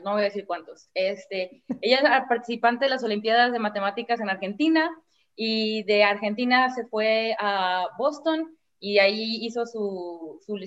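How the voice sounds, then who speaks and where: A woman introduces a visiting lecturer on a video call, pausing often with "eh" and "este." Her voice is a little high. The sound is dull and lo-fi. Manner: hesitant, many fillers. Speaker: host introducing speaker.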